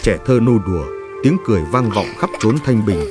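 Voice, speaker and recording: A man narrating a legend from a script, with solemn, measured storytelling. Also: on the low side, animated. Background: music.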